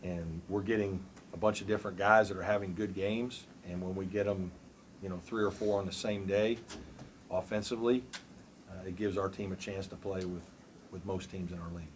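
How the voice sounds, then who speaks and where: A man in his forties, a college basketball coach, answering questions at a postgame press conference in a measured, thoughtful way with many fillers. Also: low voice, steady tone, slight background noise. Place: press room before a backdrop. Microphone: table mic on a stand.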